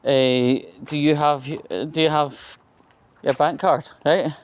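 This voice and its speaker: A Scottish man rambling through a casual anecdote in a halting, opinionated and aggrieved way. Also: a little high, steady tone. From Scotland. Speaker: vlogger.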